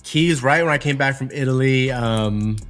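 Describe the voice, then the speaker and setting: A man with a middle-pitched voice chats casually on a DJ podcast. He is laid-back and slangy and swears freely, over faint background music. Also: moderate pace, fairly bright tone. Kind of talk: casual chat.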